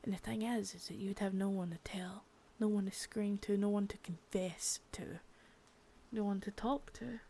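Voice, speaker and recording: A woman voicing a sadistic, menacing female boss in an ASMR roleplay, whispering softly with spoken threats between. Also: mid-range voice, fairly even intonation, moderate pace, slight background noise, close to an everyday voice. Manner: taunting and threatening.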